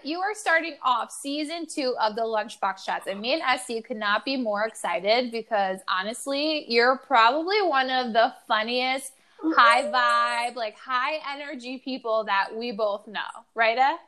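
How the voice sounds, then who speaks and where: Chatty high-voiced woman podcast host. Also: a little slow, sharp tone. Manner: chatty, lots of "like", laughs easily. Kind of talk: casual chat.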